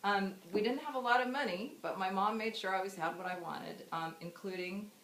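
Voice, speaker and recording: A woman's voice: mid-range voice, brisk, reverberant, slight background noise, rough recording. A woman in her fifties from the United States giving a memorial speech about her mother, telling stories with laughs and fillers. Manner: warm storytelling with frequent laughs and fillers. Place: memorial gathering room.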